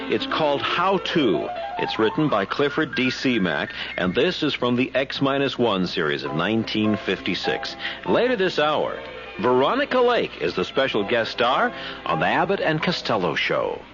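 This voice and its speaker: A male radio host presents from a script with highly animated, swooping pitch. Music plays clearly behind him, and the sound is a bit dull. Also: moderate pace. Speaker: host of old-time radio programme.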